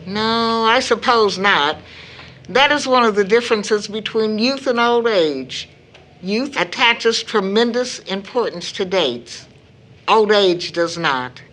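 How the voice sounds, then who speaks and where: A woman playing a weary, loving mother of sixty in an audio drama, speaking gently and reflectively. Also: medium pitch, lively intonation, slow.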